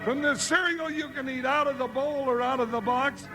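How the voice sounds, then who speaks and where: A man's voice: very high-pitched, moderate pace, music in the background. An American man playing a jolly, reluctant cowboy sidekick in a 1950s radio Western, with folksy, drawling Old West talk. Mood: excited, jolly. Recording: noisy, crackly 1950s radio recording.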